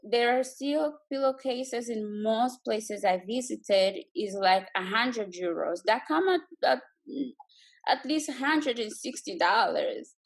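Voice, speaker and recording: A woman's voice: on the high side, takes it slowly. A woman from Congo chatting earnestly and from the heart as a guest on a video call. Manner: earnest, heartfelt, with frequent fillers. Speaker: guest entrepreneur. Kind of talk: casual video chat.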